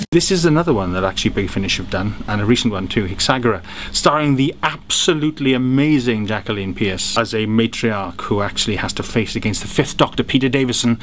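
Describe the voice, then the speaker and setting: A man's voice: mid-range voice, slight background noise. Gushing man reviewing audio dramas. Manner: animated, jokey, gushing with praise. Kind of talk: enthusiastic product review.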